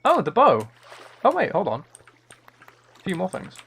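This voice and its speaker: A man's voice: sits high, huge pitch swings, moderate pace, vocal fry, fairly bright tone, husky. A man chatting casually as he live-streams a game, thinking aloud in an easygoing running commentary. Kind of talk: casual chat while playing a game live.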